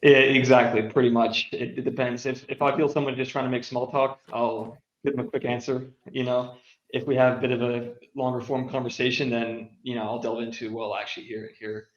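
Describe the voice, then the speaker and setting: A young man answers as a podcast guest, hesitant, with frequent pauses and restarts. The sound is roomy and slightly muffled, as if recorded remotely. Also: mid-range voice, little pitch movement. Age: young adult. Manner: hesitant, many ums and restarts. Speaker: guest, international school graduate. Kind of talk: casual podcast conversation.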